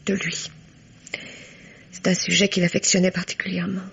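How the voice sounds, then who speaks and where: An actress playing a broke, self-mocking dancer in a French radio mystery, frank and rueful, with a breathy, creaky voice. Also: female voice, on the low side, little pitch movement, normal speed, fairly bright tone, heavily acted. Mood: subdued, rueful. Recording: dull old radio recording.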